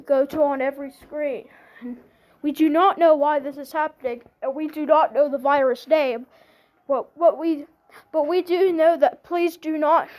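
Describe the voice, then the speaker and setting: Casting: woman, high mellow voice, amateur emergency-alert announcer, halting delivery with repeated phrases. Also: low-quality recording. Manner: halting, repeats phrases and restarts sentences often.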